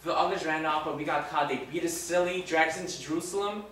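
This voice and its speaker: A man playing a repentant crucified robber in a live dramatic monologue, impassioned and moving between hushed confession and raised, forceful speech. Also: on the high side, fast, natural voice. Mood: regretful, agitated. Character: repentant condemned robber crucified beside Jesus, rough-living, remorseful. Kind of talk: live dramatic monologue, first-person storytelling. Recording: very echoey room, distant mic, faint noise.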